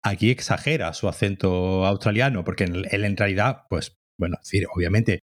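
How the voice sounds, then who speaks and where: A man's voice: low-pitched, a little fast. A man co-hosting a podcast, chatting casually about a cartoon and hesitating often. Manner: hesitant, many fillers and restarts, agreeing often. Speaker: podcast co-host. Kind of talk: casual review chat.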